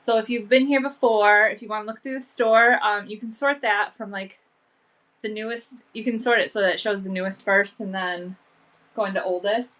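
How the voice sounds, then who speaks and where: A woman with stuffy allergies talks loosely and chattily as she hosts a live vintage sale from home. Her voice sits at an ordinary mid pitch, and her sentences ramble along, filled with fillers. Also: steady tone, normal speed, a bit bright. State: sniffly from allergies. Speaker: online vintage seller. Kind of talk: casual live-sale chat.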